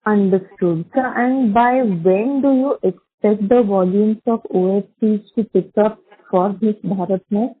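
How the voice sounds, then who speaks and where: Female analyst, Indian accent, husky edge, questioning over a dry, thin telephone connection. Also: mid-range voice, measured pace, no echo. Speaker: equity analyst asking questions. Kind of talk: earnings call questioning. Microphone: conference call phone line. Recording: narrowband phone line.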